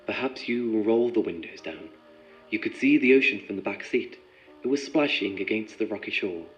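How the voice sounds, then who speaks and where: Actor as lowish-voiced methodical male researcher. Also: moderate pace, close to an everyday voice. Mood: coaxing, guiding. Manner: clinical, probing, precise. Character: composed, methodical male researcher running an experiment. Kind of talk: audio drama acting. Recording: muffled sound with music behind.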